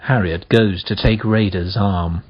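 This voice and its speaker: A man's voice: deep voice, fairly even intonation, unhurried, husky, highs cut off. A man reading stage directions as scripted narration for a radio play. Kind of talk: scripted narration in present tense.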